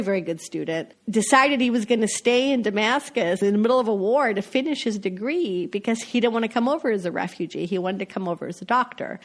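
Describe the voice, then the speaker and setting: A middle-aged woman, a foreign correspondent, chatting casually as a podcast guest and laughing often between her points. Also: mid-range voice. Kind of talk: casual interview chat.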